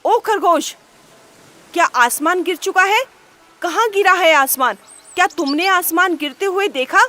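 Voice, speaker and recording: A woman voicing a commanding male lion, king of the jungle, in a storybook, making loud, roaring demands. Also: very high-pitched, big pitch swings, unhurried, pitched above the performer's own voice, exaggerated voice.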